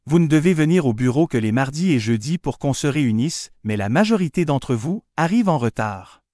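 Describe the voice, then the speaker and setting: A man's voice: fairly high pitch, expressive, fairly quick, darkish tone, dry recording, clean studio sound, natural voice. A man playing a formal manager admonishing his staff in scripted exam audio. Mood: firm, admonishing. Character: male manager sternly addressing his staff.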